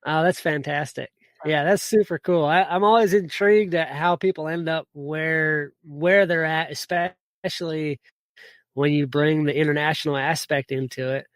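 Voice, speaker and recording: A man's voice: a little high, fairly even intonation, normal speed, dry recording. A man hosting a podcast, upbeat and enthusiastic, often repeating words. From United States. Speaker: podcast host. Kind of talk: casual podcast interview.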